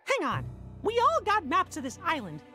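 A man voicing a small, cheerful, earnest cartoon mouse hero in a squeaky falsetto, with a folksy, eager manner. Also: huge pitch swings, moderate pace, loud music behind the voice, heavily acted. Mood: puzzled, determined. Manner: gee-whiz, folksy, eager. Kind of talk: animated video game cutscene acting.

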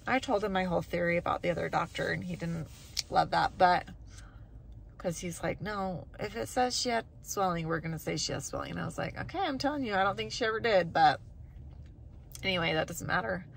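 A woman in her thirties chatting casually to her phone camera from a parked car, acting out what others said with lively voices. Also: lowish voice, vocal fry, slight background noise, low-quality recording. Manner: chatty, acts out quoted voices animatedly. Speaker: family vlogger. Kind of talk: casual vlog talk to camera. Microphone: phone camera held close.

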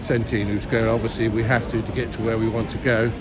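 A man's voice: lowish voice, flat delivery, fast, over a noisy crowd. An older man in his late sixties or above chatting casually and ramblingly to viewers as he walks outdoors through a city. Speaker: live-streaming city walk vlogger. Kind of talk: casual rambling chat to viewers while walking. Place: outdoors on busy city streets. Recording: clear street and traffic noise. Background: urban street ambience, vehicles.

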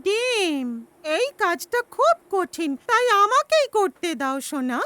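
A woman voicing a kindly, patient cartoon grandmother who talks affectionately and gently instructs children. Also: very high-pitched, sing-song, slow, exaggerated voice. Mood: puzzled, steady.

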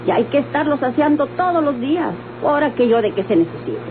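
Humble, resigned Mexican mother, highish voice. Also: big pitch swings, a little fast. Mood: hesitant. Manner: hesitant, plain-spoken, resigned. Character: humble, hard-working mother running a modest motel. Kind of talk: old radio-novela acting. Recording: noisy, humming, tinny old radio recording.

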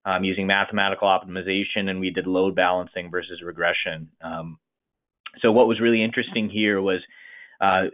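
A man, a consultant, presenting a case study on a webinar in a hesitant way full of fillers. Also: deep voice. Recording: phone-line webinar audio.

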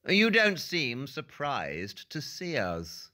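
A shrewd male detective in an audio drama, bright and forceful. He is supremely self-assured and speaks with precise, theatrical diction, his pitch swinging widely. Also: wildly swooping pitch, slow pace, heavily acted. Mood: dry, probing. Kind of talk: audio play acting.

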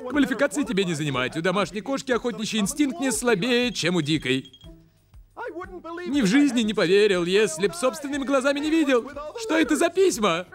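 A man voicing an excitable, bossy cartoon bird who hosts and directs a show, speaking like a brisk announcer. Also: very high-pitched. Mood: presenting. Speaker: host. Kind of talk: animated cartoon dubbing. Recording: music under the voice.

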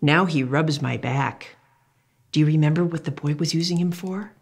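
An older woman reading a picture book aloud to children, animated and exclamatory, asking the young listener questions as she goes. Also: sits low, moderate pace, husky.

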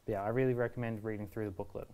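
A man's voice: fairly low pitch, steady tone, racing, mellow. A man in his twenties presenting a casual step-by-step product tutorial in a reassuring, easygoing way. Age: 20s. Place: indoor shop video studio, standing at a table.

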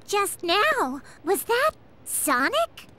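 A young, feisty hedgehog girl in a video game. The voice is extremely high and piercingly bright, earnest in delivery, with some ambient noise behind it. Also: sing-song, extremely slow, full character voice. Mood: pleading. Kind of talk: animated video game acting.

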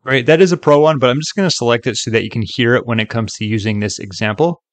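A man giving a chatty, upbeat, casual software walkthrough as a tutorial presenter. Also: medium pitch, quick pace, no room sound. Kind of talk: casual screen-recording walkthrough.

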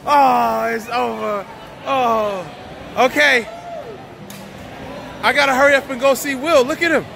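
A woman chatting casually and encouraging kids excitedly as she films a family outing on a phone. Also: sits high, unhurried, vocal fry. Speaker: vlogger filming a family outing. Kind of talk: casual chat and cheering. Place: large busy indoor shopping mall. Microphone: handheld phone, behind the camera. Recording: noisy crowded indoor mall, phone audio. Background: crowd chatter and ride noise.

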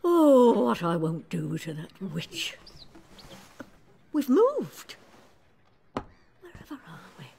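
Feisty, slow old crone, swooping voice. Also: female voice, medium pitch, very animated, gravelly, mellow, exaggerated voice. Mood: aching, grumbling. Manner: indignant, muttering, sighing. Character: feisty, grumbling old woman under a spell. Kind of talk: radio drama acting.

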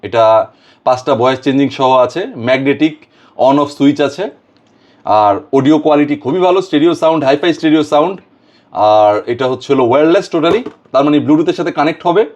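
A man's voice: on the high side, fairly quick, darkish tone, lo-fi sound. A Bangladeshi man hosting a casual product demonstration, mixing English words and phrases into his talk. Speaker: product reviewer and video host.

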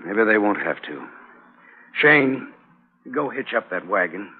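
An actor playing a plain-spoken, firm and principled homesteader in a radio drama. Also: male voice, lowish voice, big pitch swings, a little slow, breathy edge, heavily acted. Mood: concerned. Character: principled, steadfast homesteader, family man. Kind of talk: 1950s radio drama acting. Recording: tinny old radio recording.

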